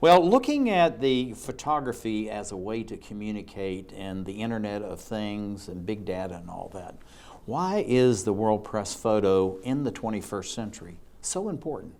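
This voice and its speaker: A man's voice: fairly low pitch, animated, moderate pace, darkish tone. A man hosting an interview show, asking long rambling and enthusiastic questions. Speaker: talk show host.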